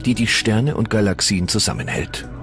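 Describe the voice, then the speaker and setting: A man narrating a science documentary in a measured, solemn way over music. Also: on the low side. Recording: voice-over with loud music behind.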